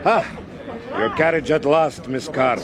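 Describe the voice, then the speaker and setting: An actor playing a smooth, politely menacing foreign doctor in a radio drama. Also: male voice, unhurried, crowd noise, close to an everyday voice. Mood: suavely polite. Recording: clear train rumble behind.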